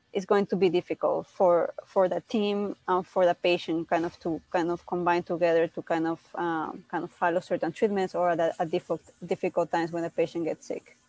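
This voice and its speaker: A woman doctor answering patients' questions on a video call, speaking haltingly with many restarts. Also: fairly low pitch, darkish tone, dry recording, poor audio. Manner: halting, many restarts, switches between English and Spanish. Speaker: doctor at a cystic fibrosis center.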